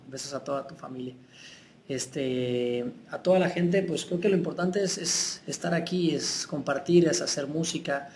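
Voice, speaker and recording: A young man with a Mexican accent talks loosely into a laptop webcam, his voice sitting a touch high. He reads fans' names and sends greetings in a warm, chatty way. A faint hum of room ambience sits behind him. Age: young adult. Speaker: singer answering fans on a webcam stream. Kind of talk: casual live chat reading fan messages. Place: room with tall windows, indoors.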